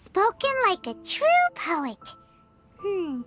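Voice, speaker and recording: Tiny girl fairy, very high squeaky pitch, chirpy, blunt, video game dialogue, music behind. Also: very animated, takes it slowly, fairly bright tone, heavily acted. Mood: inquisitive. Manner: refers to herself in third person, exclaims bluntly.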